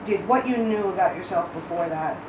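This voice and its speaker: Lower-voiced woman interviewing in an echoey room. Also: brisk. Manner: tentative, careful phrasing. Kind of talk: open-ended research interview questioning. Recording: roomy, distant mic, clearly noisy.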